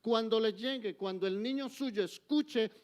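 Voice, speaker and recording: An older Colombian man preaching in church, his voice sitting a little higher than most men's. He drives his points home with forceful calls to action, then drops to soft, confiding asides. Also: a little slow. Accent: Colombian Spanish. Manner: emphatic, swinging between forceful exhortation and soft, intimate asides. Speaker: guest preacher. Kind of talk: sermon.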